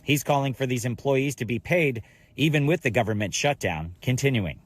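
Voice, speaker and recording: A man's voice: mid-range voice, normal speed, slight background noise. An American man working as a TV news reporter, delivering live transportation reports in broadcast style.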